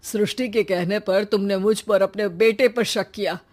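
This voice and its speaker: Casting: woman as middle-aged father in a family story, steady, forgiving, gently reproachful. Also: medium pitch, normal speed, natural voice.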